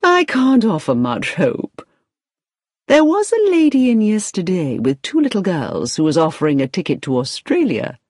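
A woman voicing a fussy, excitable female employment agency manager in a storybook, speaking effusively and emphatically. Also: fairly low pitch, moderate pace, slightly rough, no echo, studio quality, heavily acted. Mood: surprised, discouraging.

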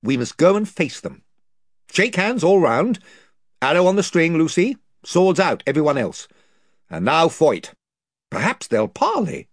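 A decisive young king rallying his companions, as a man reading the story puts him on. The voice stays close to the reader's own, a little higher, husky and lively in pitch. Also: no room sound, exaggerated voice. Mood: resolute. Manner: commanding, brisk.

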